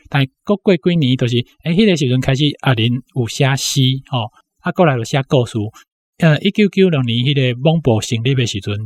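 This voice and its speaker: A man in his sixties with a Taiwanese accent recalls personal memories informally, often punctuating his phrases with little tag particles. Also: mid-range voice. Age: 60s. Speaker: interview guest, university academic. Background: background music.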